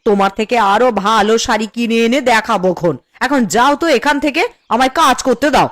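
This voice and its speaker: A woman's voice: a little high, fairly quick, lightly acted. A woman voicing a peevish, competitive village housewife in a cartoon, with a snappish, complaining way of talking. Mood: snappish, defiant. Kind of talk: Bengali cartoon voice acting.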